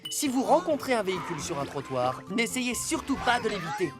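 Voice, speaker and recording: A woman's voice: medium pitch, big pitch swings, fairly quick, music in the background, close to an everyday voice. A woman voicing an earnest, caring rescue-car hero who gives safety lessons in a dubbed children's cartoon. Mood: earnest, instructive. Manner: clear, instructive, earnest.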